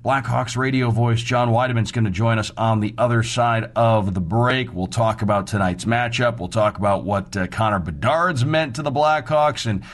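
A man's voice: medium pitch, moderate pace, few pauses. A man hosting a sports radio show, previewing games and reading promos in a conversational, folksy way.

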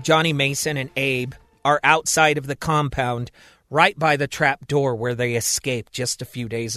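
A man telling a serial thriller in steady, expressive scripted narration. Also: mid-range voice, no echo, studio quality. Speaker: storyteller.

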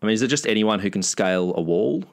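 An Australian man co-hosting a casual sports podcast, making dry, deadpan jokes. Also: lowish voice, fast, vocal fry. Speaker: podcast co-host. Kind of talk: casual comedic sports chat.

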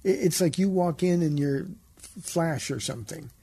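An older man with a soft, gravelly voice and a dark, mellow tone. He chats as a pastor on a radio show, gently teaching in an easy, conversational way. His voice sounds slightly husky. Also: fairly high pitch, moderate pace. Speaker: pastor, co-host.

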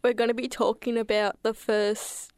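A woman co-hosting a community radio show, brief and low-key. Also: level tone, normal speed, clean tone, dry recording. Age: 20s. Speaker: radio co-host. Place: radio studio.

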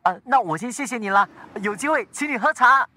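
A woman's voice: fairly high pitch, animated, fairly quick, pushed and bright. A woman acting an unsure, apologetic new delivery rider in a radio drama, hesitant and polite, with a Singaporean accent. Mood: sheepish.

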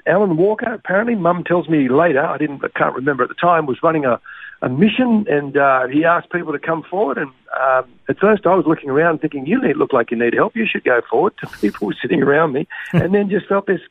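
A man's voice: on the high side, animated, fast, breathy edge, dry recording. Australian man on a tinny line. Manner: rambling with restarts. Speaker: guest. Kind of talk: casual interview.

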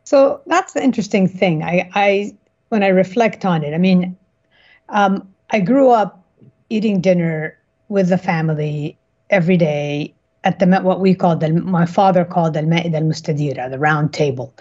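A woman from Kuwait answering interview questions reflectively, often halting and correcting herself, on slightly dull audio. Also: lowish voice, a little mellow. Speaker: interview guest, cookbook author.

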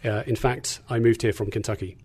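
A middle-aged man with an English accent, talking as a guest in a measured, articulate way with frequent fillers. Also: fairly low pitch, fairly even intonation, darkish tone, breathy edge. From England. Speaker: guest, Bible teacher. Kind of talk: casual interview chat.

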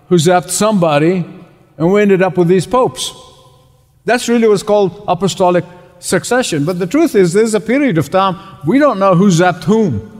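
A man from Egypt preaching a sermon, emphatic, repeating key phrases and slowing down to stress words. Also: high-pitched, a little mellow. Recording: long reverb of a large hall.

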